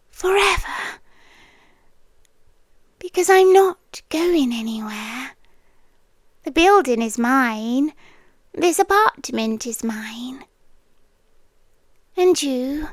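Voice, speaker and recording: A possessive British yandere landlady acted by a woman in an intimate ASMR roleplay. Her high, soft, breathy voice moves very slowly and often slips toward a whisper. She coaxes with quiet menace, very close and dry on the mic. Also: dry recording, heavily acted. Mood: firm, possessive. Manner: slow, intimate, coaxing, possessive. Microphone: close, dry microphone.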